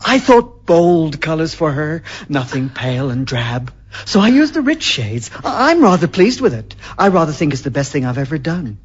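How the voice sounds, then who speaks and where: Husky, stammering, unhinged husband. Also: male voice, fairly high pitch, big pitch swings, moderate pace. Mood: dreamy, unhinged. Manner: hesitant, stammering, repeating words. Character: mild, ordinary husband turning into a gleefully unhinged strangler. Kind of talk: radio drama acting. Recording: dull lo-fi radio recording with music.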